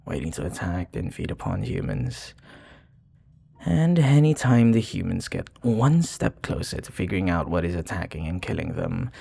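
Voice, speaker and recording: A man playing a remorseful, flirty vampire bartender in an intimate ASMR roleplay, soft-spoken and hesitant, with a breathy, husky voice. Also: low voice, exaggerated voice. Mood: grave, ominous. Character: remorseful, flirty male vampire bartender confessing his past.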